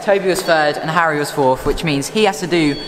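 A man's voice: fairly high pitch, brisk, a little hiss or hum. A young man, casually presenting a vlog and calling out a race. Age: teens to young adult. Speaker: vlog host. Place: large empty abandoned indoor hall. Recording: echoey empty hall, loud music behind.

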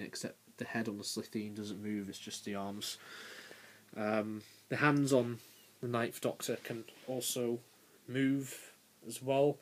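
A young man around nineteen giving a casual, unscripted review as a video creator, halting and pausing mid-phrase. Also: medium pitch, poor audio. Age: young adult, about 19. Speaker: YouTube toy reviewer. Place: small bedroom, indoors. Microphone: handheld camera's built-in mic.

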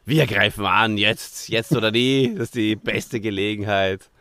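Low-voiced man, dry close sound. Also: a little slow, sharp tone, dry recording. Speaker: podcast host. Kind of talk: casual, joking review chat.

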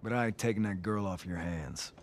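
A man playing a friendly, excitable younger brother and rugged survivor in a video game. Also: low voice, fairly quick, faint music behind. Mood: easygoing. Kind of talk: naturalistic video game acting.

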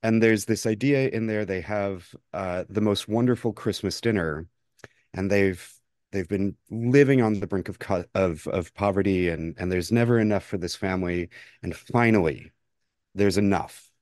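A man's voice: fairly low pitch, moderate pace, darkish tone, dry recording, clean studio sound. A man speaking as a podcast guest, reflective and earnest, repeating words as he thinks. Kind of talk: reflective personal interview talk.

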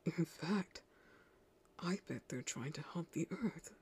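A woman acts out a tender teenage boy from an anime audio roleplay, caring and soothing. The voice is extremely breathy, often sinking into a whisper. The words come slowly and gently, meant to comfort a frightened partner. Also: sits low, lively intonation, exaggerated voice. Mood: tender concern.

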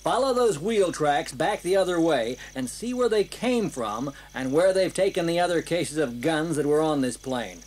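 Unflappable team commander for audio drama. Dry, laconic delivery, voice a little high. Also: male voice, animated, normal speed, few pauses, a little mellow. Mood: commanding. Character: wry, unflappable male mercenary team leader. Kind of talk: 1980s children's audio drama acting.

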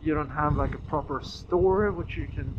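A man rambling casually and haltingly, thinking aloud as he vlogs from a moving motorcycle. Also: a little high. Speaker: motovlogger. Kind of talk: casual rambling vlog while riding a motorcycle. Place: outdoors on a moving motorcycle on a road. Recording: muffled helmet audio, loud wind and engine noise.

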